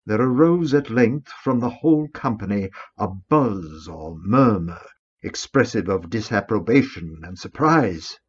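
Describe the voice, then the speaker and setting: A man giving a theatrical, deliberate dramatic reading of a gothic horror story, with pauses for effect. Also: lowish voice, slightly rough. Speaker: narrator, story reader. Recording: slightly dull, lo-fi recording with music underneath. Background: music.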